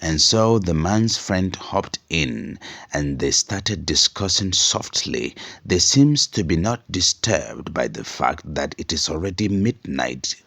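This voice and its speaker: A man narrating a folktale as a storyteller in a simple, unhurried oral style. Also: low-pitched, expressive, gravelly, a bit bright, no room sound, studio quality.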